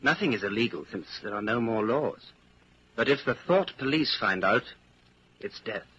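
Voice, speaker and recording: An actor playing an anxious, secretly rebellious man in his thirties in a 1940s radio drama, brooding and halting in an intense inner monologue. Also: fairly low pitch, expressive, fairly bright tone, breathy edge, heavily acted. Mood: grim. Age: 30s. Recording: muffled noisy 1940s radio broadcast.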